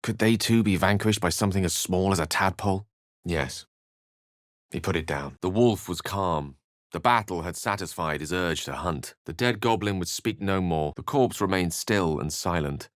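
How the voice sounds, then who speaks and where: A low-voiced male wizard from a fantasy video game, played by a man. He is learned and wry, phrasing his lines like a measured, theatrical storyteller. The sound is very dry. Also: dry recording, lightly acted. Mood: grave narration. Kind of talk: scripted video game character lines and narration.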